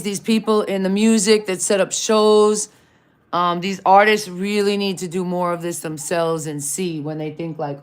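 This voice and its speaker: A woman's voice: on the low side, darkish tone, echoey room. A woman hosting a casual live-stream interview, chatty and rambling, apologizing and laughing often. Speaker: host. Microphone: live-stream video call.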